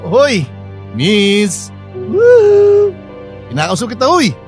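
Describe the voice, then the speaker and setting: A man's voice: very high-pitched, wildly swooping pitch, extremely slow, heavily acted. A Filipino man acting a menacing, leering street thug in a radio drama. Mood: leering, catcalling. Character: menacing, leering male street thug who harasses women. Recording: loud music behind the voice.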